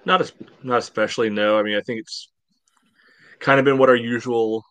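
A man's voice: mid-range voice, fairly even intonation, normal speed, fairly bright tone, clear, resonant tone. A man speaking as a panelist in a casual sports podcast discussion.

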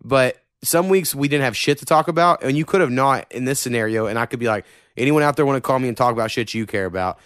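A man's voice: medium pitch, quick pace, fairly bright tone, smooth, dry recording, studio quality. A man with a slight Oklahoma country accent hosting a podcast, rambling and swearing freely in casual chat. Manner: profane, rambling and opinionated. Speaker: podcast host.

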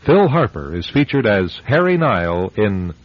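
A man's voice: lowish voice, big pitch swings, moderate pace. A man with an American accent announcing a radio suspense drama in a dramatic, scripted classic-radio style. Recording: muffled radio recording. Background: music.

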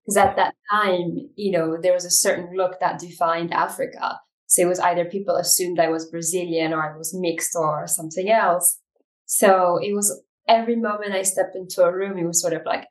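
A woman from Ethiopia, a documentary filmmaker, answering interview questions in a reflective way with many pauses and restarts. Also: fairly low pitch, distant mic, poor audio. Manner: reflective, frequent fillers and restarts.

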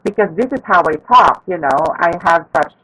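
A woman who works as a physical therapist, candidly telling a personal story in a panel talk with a feminine-sounding voice and soft fillers. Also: fairly low pitch, animated, normal speed, rough recording.

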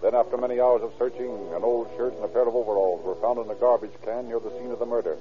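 A man's voice: medium pitch, steady tone, fast talker. An American man narrating an old-time radio crime drama in a formal, dramatic scripted style. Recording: tinny old radio recording. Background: dramatic music.

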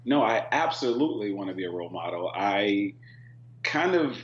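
A man guest on a talk panel, speaking in an earnest, thoughtful and measured way. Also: lowish voice, lively intonation. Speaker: reality show cast member, guest. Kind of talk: casual panel chat. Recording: dull echoey remote audio with hum.

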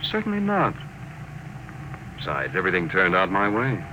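An actor playing a shrewd, cocksure space tycoon with a wry, commanding manner in an old-time radio drama. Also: male voice, deep voice, huge pitch swings, normal speed, pushed and bright, exaggerated voice, poor audio. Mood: amused, smug. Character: shrewd, brash, amused space-drive tycoon, a man. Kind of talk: 1950s radio drama acting. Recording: old radio recording with faint noise.

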